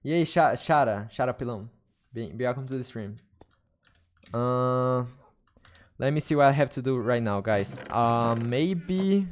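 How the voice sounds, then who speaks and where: A man's voice: medium pitch, darkish tone. Young Brazilian man streaming in English. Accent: Brazilian Portuguese. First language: Portuguese. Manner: halting non-native English, restarts and searches for words. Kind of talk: casual live-stream chat while gaming. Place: small room at a desk. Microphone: studio mic on arm, headphones.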